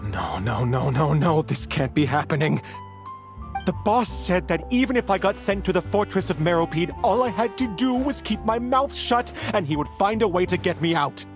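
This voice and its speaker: Man acting nervous male clerk, rather high voice, very lively swings, pleading, game drama. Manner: anxious, stammering, pleading. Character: desperate, nervous male clerk in money trouble. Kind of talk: dramatic video game voice-over.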